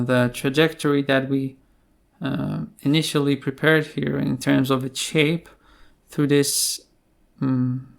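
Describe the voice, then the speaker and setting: A man giving an unscripted tutorial explanation in a halting way, with many filled pauses. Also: mid-range voice, creaky. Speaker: programming tutorial presenter.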